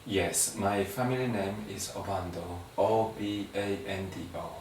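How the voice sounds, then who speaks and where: A slow, hesitant man, pausing on fillers as he answers exam questions in English. His voice sounds roomy, with a strongly echoing space around it. It is picked up from some distance, with faint background noise. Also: sits low, fairly even intonation, a little mellow. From Guatemala. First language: Spanish. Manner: hesitant, with many uh fillers and restarts. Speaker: exam candidate.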